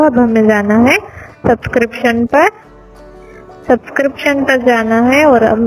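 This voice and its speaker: A woman's voice: fairly high pitch, a little mellow, low-quality recording. A woman giving a step-by-step voice-over walkthrough of a phone app, speaking to viewers as friends. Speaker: app tutorial presenter. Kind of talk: screen-recorded app walkthrough. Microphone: voice-over over screen recording.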